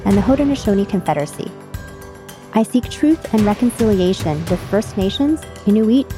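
A woman's voice: medium pitch, mellow. Earnest woman hosting a podcast. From China. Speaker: podcast host. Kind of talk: podcast interview.

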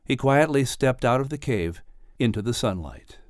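A man's voice: mid-range voice, lively intonation, moderate pace, no room sound, studio quality. A man narrating an audiobook and announcing a podcast, in a storytelling announcer style.

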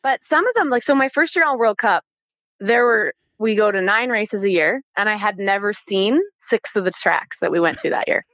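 A Canadian-accented woman telling stories casually as an interview guest, animated and informal, acting out bits of dialogue as she goes. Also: medium pitch, moderate pace, no echo, dull audio. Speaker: interview guest, former Olympic athlete. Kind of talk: casual conversational storytelling.

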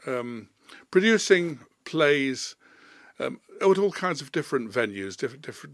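An older man talks through his life in an unhurried, anecdotal way, pausing on ums and restarts. His voice sits at an ordinary pitch and sounds close and dry. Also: fairly bright tone, no echo. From Leeds, England. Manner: rambling, anecdotal, with fillers. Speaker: playwright guest. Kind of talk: relaxed storytelling interview.

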